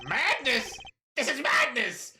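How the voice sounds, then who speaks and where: A woman voicing a frantic male prosecutor who bursts into shrill, panicky outbursts. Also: animated, slow, roomy sound, music in the background.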